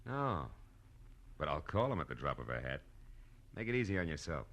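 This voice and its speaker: An actor playing a wry, hard-boiled American private detective who cracks deadpan wisecracks in a 1940s radio style. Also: male voice, rumbling low, moderate pace, full character voice. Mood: tough pressing. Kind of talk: 1940s radio detective acting with first-person narration. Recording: noisy vintage radio drama recording.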